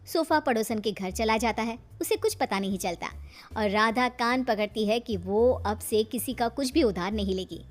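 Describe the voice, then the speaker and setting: A woman's voice: a little high, moderate pace. A woman narrating a scripted family story as a storyteller.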